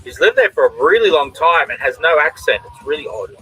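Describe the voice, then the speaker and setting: Bright-voiced Australian man chatting. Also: a little high, lively intonation, brisk, soft background music, poor audio. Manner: chatty, reads out and answers viewers' comments. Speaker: comic artist and stream host. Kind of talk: casual chat while drawing on a stream.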